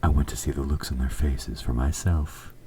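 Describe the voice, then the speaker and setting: A man playing an evil, possessive king in an audio roleplay, speaking formally and with measured, quiet menace, his S sounds sharp. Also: extremely low pitch, steady tone, a little hiss or hum. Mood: smugly satisfied. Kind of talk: scripted audio roleplay, intimate dramatic monologue.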